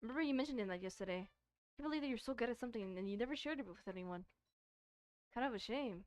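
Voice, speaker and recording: Girl reading a supportive classmate in a visual novel, casual, modest, highish plain voice. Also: animated, fast talker, a little mellow, close to an everyday voice. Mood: admiring.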